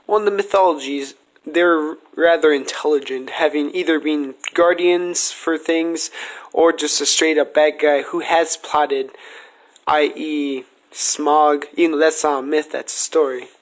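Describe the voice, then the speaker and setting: A man's voice: a little high, steady tone, unhurried, poor audio. A man hosting a podcast, asking questions in a casual interview style.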